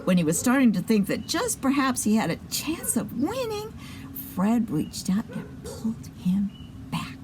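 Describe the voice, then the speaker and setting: A woman's voice: a little high, very animated, unhurried, background noise. A woman hosting a children's show and telling a story, with chatty asides to her listeners.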